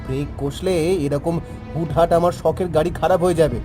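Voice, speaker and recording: A man's voice: a little high, fairly even intonation, fairly quick, close to an everyday voice. A man playing an arrogant, cruel young son of a village chief in a melodramatic cartoon, with a scornful, bullying manner. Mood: contemptuous anger. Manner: scornful, bullying, shouting down at others. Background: loud music.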